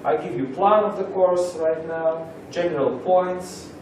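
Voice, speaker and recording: A Russian man speaking English as a second language, giving a halting seminar introduction with many pauses. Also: sits high, a little mellow, a little hiss or hum. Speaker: lecturer. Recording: roomy echo from far off.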